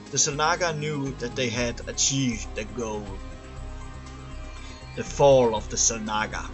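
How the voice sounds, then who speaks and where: A man's voice: medium pitch, low-quality recording. A man reading scripted lore aloud as an amateur narrator, sometimes stumbling and repeating words. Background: music under the voice.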